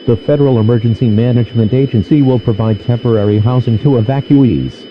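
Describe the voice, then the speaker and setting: A man's voice: mid-range voice, fast. A man's stiff, synthetic voice reading a formal scripted emergency announcement over a lo-fi, noisy radio broadcast. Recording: dull lo-fi radio with clear noise.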